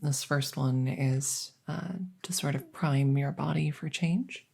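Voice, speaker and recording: Low, creaky, unhurried woman playing a reassuring vet. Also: fairly even intonation, a little mellow, heavily acted. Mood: gentle, clinical. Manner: hesitant, explanatory, reassuring. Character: kindly, rambling female vet for supernatural patients. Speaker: voice roleplay performer. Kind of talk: ASMR audio roleplay.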